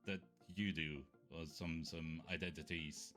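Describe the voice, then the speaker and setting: A man with a German accent chats casually as a podcast co-host. His voice sits very low. He often stops to search for words, and faint music plays in the background. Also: steady tone, measured pace, bright, forceful tone. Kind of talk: casual chat.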